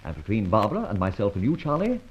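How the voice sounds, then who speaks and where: An actor playing a debonair, self-assured gentleman rogue in a breezy, urbane manner, as in an old radio adventure serial. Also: on the low side, huge pitch swings, fast talker, slight background noise. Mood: brisk, assured. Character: suave, debonair gentleman adventurer, secretly a roguish thief. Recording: dull, lo-fi old radio recording.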